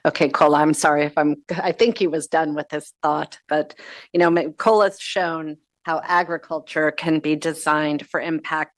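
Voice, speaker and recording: A woman's voice: deep voice, steady tone, moderate pace, no room sound. A woman moderating a panel on a video call, polite and briefly apologetic as she hands over to the next speaker. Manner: polite, apologetic, posing questions.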